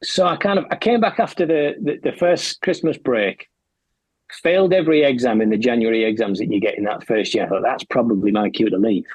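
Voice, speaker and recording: Husky-voiced man in his forties, wry storyteller. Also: medium pitch, a little fast, darkish tone, no room sound, rough recording. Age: 40s. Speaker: podcast guest. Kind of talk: casual chat.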